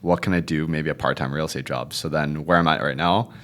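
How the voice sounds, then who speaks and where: Young male guest, Cantonese first language, extremely low voice, loose and chatty delivery. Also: a little fast, a little hiss or hum. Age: 20s. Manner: relaxed, rambling, fond of the tag "if you will, right?". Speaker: podcast guest, realtor. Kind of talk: casual interview chat.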